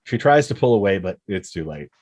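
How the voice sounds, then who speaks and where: A man's voice: sits low, big pitch swings, a little fast, darkish tone, breathy edge, no echo, studio quality. A man reading stage directions as the narrator of a screenplay table read.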